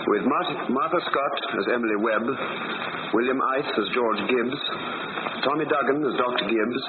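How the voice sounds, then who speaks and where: A man's voice: medium pitch, phone-quality sound, very noisy. A man reading closing credits as a radio announcer in a formal 1940s broadcast style.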